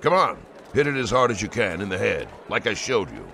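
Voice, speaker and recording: A man's voice: on the low side, sing-song, a little fast, creaky, bright, forceful tone, a little hiss or hum, full character voice. An actor playing a middle-aged soldier and father in an audiobook drama, blunt and commanding but loving. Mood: gruff coaxing. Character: gruff older soldier father, stern but loving husband.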